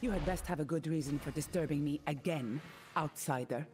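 A woman's voice: low voice, fairly quick, darkish tone, slight background noise, close to an everyday voice. An actress playing a cold, proud, commanding female mercenary leader in a video game, stern and imperious. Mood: wary, annoyed. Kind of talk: video game character dialogue.

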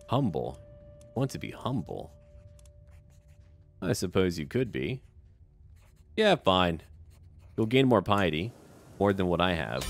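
Deep-voiced man doing lively game commentary. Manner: talks to game characters in a chatty, offhand way. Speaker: gaming video host. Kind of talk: casual roleplay game commentary.

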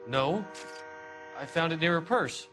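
Creepy, higher-pitched young American suspect. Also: male voice, expressive, unhurried, sharp tone, soft background music. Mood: defensive. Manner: prim, unrepentant, matter-of-fact. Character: creepy, defensive young male rail yard worker. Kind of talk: game cutscene acting.

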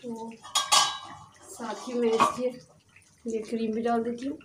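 A woman's voice: on the high side, flat delivery, measured pace. A woman hosting a home cooking video, talking casually and explaining each step as she cooks at a gas stove. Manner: chatty, explains each step and says "theek hai" often. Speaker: home cooking channel host. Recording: noisy kitchen, echoey and distant.

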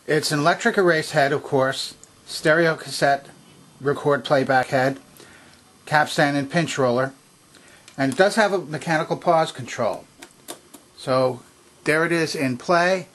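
Calm man explaining a cassette mechanism. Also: fairly high pitch. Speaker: vintage electronics restorer. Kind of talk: hands-on repair demonstration. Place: workbench.

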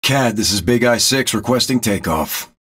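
Game role: laconic military pilot, deepish raspy voice, calm procedural radio delivery. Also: male voice. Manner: measured, laconic. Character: calm male military reconnaissance plane captain. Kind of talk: procedural cockpit radio calls, game acting.

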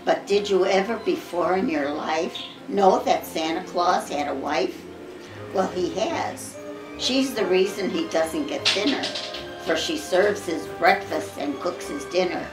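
Old american grandma reading a christmas poem, takes her time, lowish voice, echoey room. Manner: measured, expressive storybook reading with occasional stumbles. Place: living room at home. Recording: roomy home recording with music behind.

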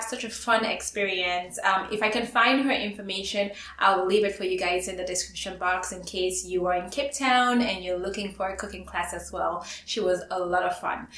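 A woman in her thirties from Cameroon, hosting a cooking tutorial in an upbeat, chatty way and talking straight to her viewers from a bright room at home. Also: medium pitch, a little hiss or hum. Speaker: cooking show host.

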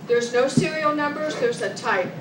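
Audience woman at a council hearing, high and loud, far from mic in an echoey noisy room. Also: moderate pace. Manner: loud and questioning. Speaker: audience member at public hearing. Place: council chamber, speaking from the audience.

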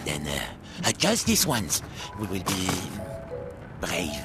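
A rude, vain hermit crab blusters in slow, gravelly tones, as a man acts him out, leaping wildly in pitch. Loud music plays behind this storybook voice. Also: huge pitch swings, full character voice. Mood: boastful. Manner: cheeky, blustering, swings high and low.

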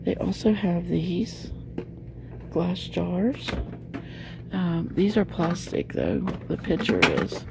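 A woman's voice: lowish voice, steady tone, slow, gravelly, noisy background. A middle-aged woman chatting casually as she films a walk through a large store, talking loosely with many fillers. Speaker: shopping vlogger. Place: inside a large discount store. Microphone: handheld phone camera.

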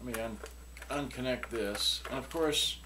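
A man's voice: medium pitch, unhurried, pushed and bright. An older man giving a rambling, offhand product demonstration in a casual way. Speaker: seller demonstrating used electronics. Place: small cluttered indoor workshop. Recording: clearly noisy room, clicks of handled switches.

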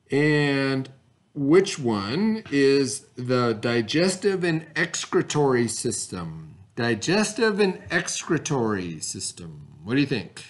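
A middle-aged man teaching a remote lesson to children, explaining simply, repeating key terms and checking understanding with short tags. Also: fairly high pitch. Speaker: elementary school teacher. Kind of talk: remote read-aloud vocabulary lesson for children. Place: classroom, indoors.

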